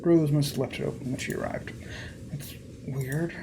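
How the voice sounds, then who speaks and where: A man reading a first-person horror story aloud as a let's player, in a measured, theatrical way. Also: fairly high pitch, fairly even intonation, whispered.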